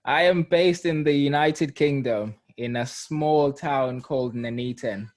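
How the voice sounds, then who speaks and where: Man, thirties, from Zimbabwe, interview guest, unhurried reflective storyteller, mid-pitched voice. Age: 30s. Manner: relaxed, reflective storytelling with fillers. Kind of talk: casual interview.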